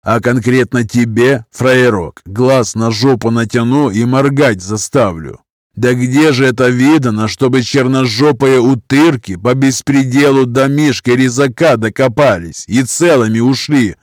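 Man playing a slow, menacing criminal tough guy. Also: mid-range voice, no echo, studio quality, pitched above the performer's own voice, natural voice. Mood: seething, menacing. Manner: slow, menacing, drawling threats in prison slang.

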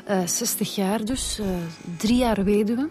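An actress playing a matter-of-fact Flemish detective, methodical with small hesitations, in a naturalistic radio drama. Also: female voice, mid-range voice, measured pace, mellow, close to an everyday voice. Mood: factual. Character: matter-of-fact female detective reporting case details.

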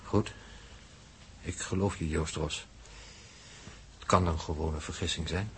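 An actor playing a grave, fatalistic spaceship crewman in a 1960s Dutch radio drama, speaking in a solemn, measured, declamatory way. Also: a bass voice, fairly even intonation, a bit bright. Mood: grave, probing. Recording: noisy early 1960s radio drama recording.

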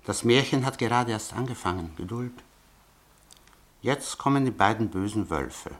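A man's voice: on the low side, animated, normal speed. An actor playing a sly, mocking male informant who blackmails with oily politeness in a radio play. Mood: mocking storytelling. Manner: oily, mocking politeness, tells threats as a fairy tale.

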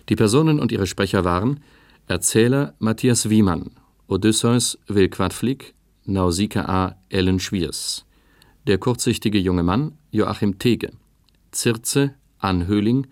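Formal low-voiced male radio announcer, dry sound. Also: takes it slowly, dry recording.

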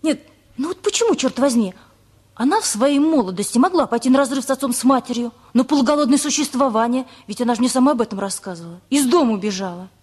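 An actress playing a headstrong, earnest teenage girl with a husky voice in a radio play. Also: sits high, expressive, fast talker, a little mellow. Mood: indignant, defiant.

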